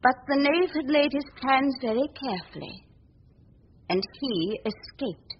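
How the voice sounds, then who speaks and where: High-voiced, earnest young lady reporter. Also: expressive, slight background noise. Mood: grave, earnest. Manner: earnest, insistent, deliberate. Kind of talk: 1940s radio drama acting. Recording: husky, dull old radio recording.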